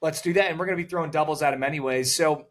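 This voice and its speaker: A man co-hosting a casual sports talk show, rambling and repeating words, with a loud voice that cuts through noise. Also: on the high side, very fast. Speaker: podcast co-host.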